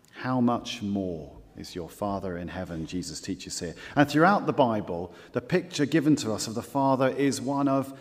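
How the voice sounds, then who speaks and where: A man preaching a sermon as pastor, earnest and measured, repeating key phrases and slowing down for scripture quotes. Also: medium pitch, darkish tone, long reverb tail.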